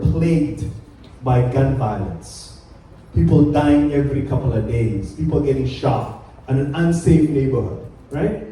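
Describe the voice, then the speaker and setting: A man's voice: mid-range voice, warm tone, slight background noise. A man from Kenya speaking as a panelist at a community summit, earnest and emphatic, with many fillers and restarts. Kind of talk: public speech at a summit panel. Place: large conference hall. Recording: echoey hall, voice from far off.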